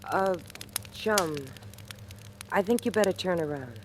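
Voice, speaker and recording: An actress playing a tough, shrewd hobo in a 1940s radio thriller, sardonic, slangy and taunting. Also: female voice, on the low side, lively intonation, takes it slowly. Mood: cool, wary. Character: tough wisecracking female hobo, shrewd and mocking. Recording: noisy old radio recording.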